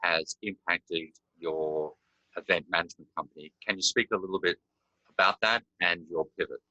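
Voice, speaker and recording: A man's voice: deep voice, fairly even intonation, normal speed, pushed and bright, muffled recording. A man hosting an interview, asking formal questions and opening each one with the guest's name. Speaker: interview host.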